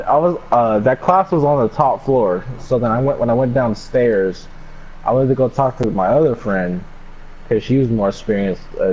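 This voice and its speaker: A man's voice: medium pitch, a little mellow. A young American man casually telling an anecdote to a friend in a slangy, sweary, rambling way. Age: young adult. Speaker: storyteller. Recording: dull lo-fi mic, faint background noise.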